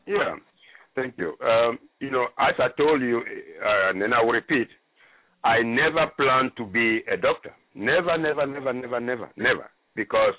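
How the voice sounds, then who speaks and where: A man's voice: mid-range voice, animated, normal speed, no echo. Middle-aged man from Gabon, native French speaker; repetitive, emphatic storyteller, dry close sound. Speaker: guest. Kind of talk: personal story on call-in radio. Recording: tinny phone line.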